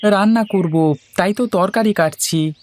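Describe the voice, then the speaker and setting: A woman's voice: mid-range voice, measured pace, a little mellow, natural voice. A woman voicing a polite, deferential young village bachelor in a moral story cartoon.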